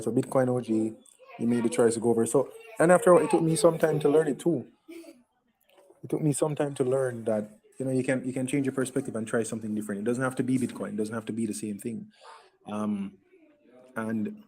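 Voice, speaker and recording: A man's voice: mid-range voice, warm tone, rough recording. A man in a casual voice chat, reflective and giving advice, often repeating words as he talks. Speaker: crypto chat participant.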